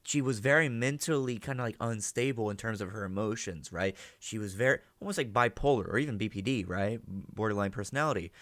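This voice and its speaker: A man giving casual, animated reaction commentary, thinking aloud and prone to swearing. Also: fairly low pitch, brisk, few pauses, no echo.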